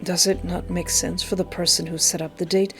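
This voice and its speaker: Woman putting on a soft-spoken, low, courtly gentleman suitor. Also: flat delivery, a little fast. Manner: soft, formal, teasing. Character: smooth, courtly male wish-granter, flirtatious suitor. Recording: music behind.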